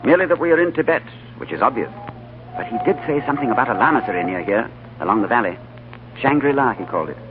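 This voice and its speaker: An actor playing a calm, courteous English diplomat adventurer, in 1940s radio drama style, telling his tale with composed understatement. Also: mid-range voice, fast talker, lightly acted. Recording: tinny 1940s radio broadcast, loud music.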